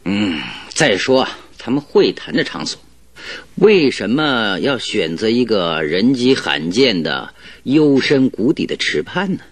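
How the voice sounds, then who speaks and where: An actor playing a confident, cheerful detective in a radio drama, explaining things in an animated, self-assured, didactic way. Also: male voice, fairly low pitch, measured pace, no echo. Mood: assured deducing. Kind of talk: Chinese radio drama acting.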